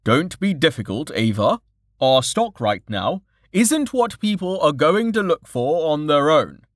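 Guy doing a cheery merchant dad in an audiobook, salesman patter, firm but fond, swoopy pitch, very dry sound. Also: expressive, takes it slowly, fairly bright tone, no echo. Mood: coaxing, firm. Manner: showman-like, coaxing, persuasive.

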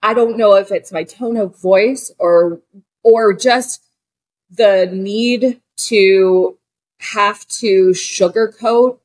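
A woman's voice: mid-range voice, drawn-out words, darkish tone. A woman of forty, a guest on a podcast, talking candidly as an actor and director, searching for words with many fillers and restarts. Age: 40s.

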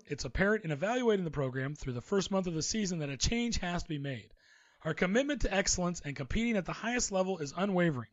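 A man's voice: on the high side, lo-fi sound. A man co-hosting a casual sports talk show, reading the news and then riffing with his own opinions. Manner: chatty, reads news then riffs with opinions. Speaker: podcast co-host.

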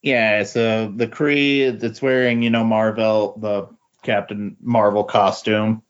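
A man with a fairly low voice chats casually as a podcast host. He talks haltingly, often doubling up his words as he reacts. The sound is somewhat dull and lo-fi. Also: level tone. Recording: dull, lo-fi voice recording.

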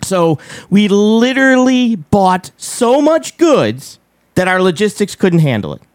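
A man hosting a casual live show, giving emphatic commentary on politics and economics with repeated phrases and a squeaky voice. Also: very animated, a little slow, darkish tone, no room sound, clean studio sound.

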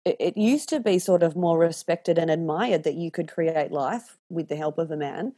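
An Australian woman in her thirties chatting casually and candidly, telling animated stories and swearing freely. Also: low voice, moderate pace. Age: 30s. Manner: animated, candid storyteller who swears freely and acts out voices. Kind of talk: casual podcast chat.

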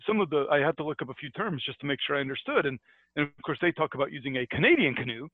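A man's voice: on the high side, expressive, very fast, dry recording, narrow, tinny audio. An American man co-hosting a casual podcast about a book, chatty and laughing often, with frequent restarts. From United States. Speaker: podcast co-host. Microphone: headphones and mic.

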